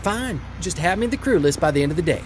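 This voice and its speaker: A man's voice: a little high, animated, fast, slight background noise, lightly acted. A man playing a smug, defiant oil refinery boss in an audio drama, sardonic and taunting. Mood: brisk.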